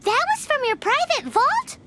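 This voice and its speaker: A woman voicing a tiny, excitable, cheeky female flying companion with a squeaky childlike voice and dramatic manner in a video game. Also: moderate pace, a little hiss or hum, heavily acted. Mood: incredulous. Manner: refers to self in third person, dramatic. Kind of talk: English video game voice acting.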